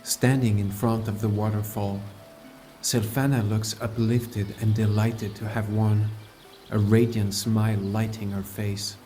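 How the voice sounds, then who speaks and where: A man playing a calm, caring fantasy adventurer and mentor, narrating his journey in a measured, earnest first person for an audiobook. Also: fairly low pitch, a little hiss or hum, close to an everyday voice, low-quality recording. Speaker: narrator and lead character.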